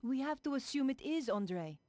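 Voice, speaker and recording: A woman's voice: sits high, animated, moderate pace. An actress playing a determined young woman journalist in a video game. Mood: focused. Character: young female investigative journalist, earnest and determined. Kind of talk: video game voice acting, narration and dialogue.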